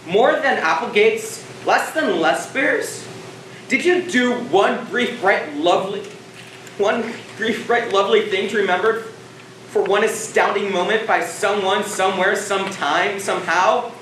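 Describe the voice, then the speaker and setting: A young man on stage playing a brooding, wistful spaceship captain facing death, in halting, reflective monologue. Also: high-pitched, fairly bright tone. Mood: anguished. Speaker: student stage actor. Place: school theatre stage. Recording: echoey stage, distant mic, clear background noise.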